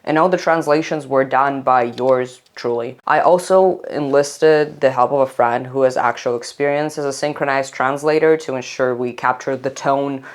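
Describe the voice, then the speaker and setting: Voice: mid-range voice, moderate pace, few pauses. Wry young speaker. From Saint Petersburg, Russia. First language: Russian. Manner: chatty and wry, with casual slang. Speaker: history video essayist. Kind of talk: scripted video essay narration.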